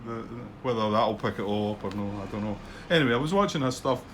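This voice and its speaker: A middle-aged Scottish man with a somewhat low voice chats through his board wargame thoughts. He rambles and hesitates, full of ums and false starts, with a soft background hiss. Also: fast. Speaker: board wargame channel host. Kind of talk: casual commentary on a solo board game playthrough.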